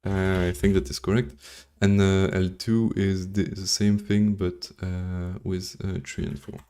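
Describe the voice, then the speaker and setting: A man's voice: low-pitched, level tone, mellow, clean tone. A man from Belgium whose first language is French, thinking aloud and reading formulas during a casual live coding stream, with dry jokes. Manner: thinks aloud, reads formulas slowly, joking asides. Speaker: coding livestreamer.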